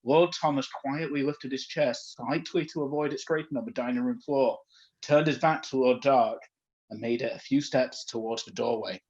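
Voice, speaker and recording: A man's voice: medium pitch, moderate pace, a little mellow, lo-fi sound. A man reading fan fiction aloud for comedy, often breaking off into amused, self-mocking asides.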